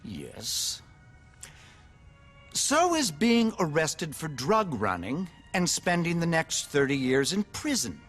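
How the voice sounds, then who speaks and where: Pompous, swooping, cynical game politician. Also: male voice, on the high side, huge pitch swings, takes it slowly, fairly bright tone, slightly rough, soft background music, heavily acted. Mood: smug, scheming. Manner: pompous, effusive, glib. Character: smug, cynical, needy male politician, theatrically candid and pompous. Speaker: politician character. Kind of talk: video game cutscene acting.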